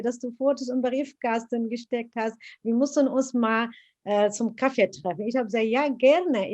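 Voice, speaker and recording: Middle-aged woman, slightly high pitch, heartfelt interview storyteller. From Iraq. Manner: earnest, animated retelling with many hesitations.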